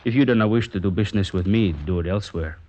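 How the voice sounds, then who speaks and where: A man plays a cold Mexican middleman for kidnappers in an old radio play. He is low-voiced, formal and evasive, with measured menace, on a dull vintage recording. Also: dark tone, close to an everyday voice. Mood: coolly menacing. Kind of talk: 1950s radio drama acting.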